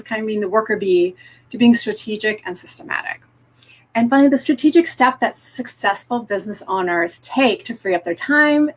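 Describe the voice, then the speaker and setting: A woman's voice: on the high side, poor audio. A middle-aged woman presenting live online as a business coach, upbeat and encouraging, speaking directly to her viewers.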